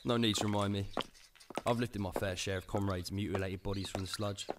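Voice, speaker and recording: A lowish-pitched, dry English copper, played by a man in a radio drama. He is stubborn, grim and sardonic, and clear background noise runs under his lines. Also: fairly even intonation, brisk, close to an everyday voice. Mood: grim, determined. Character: grim, stubborn, sardonic male police detective going undercover.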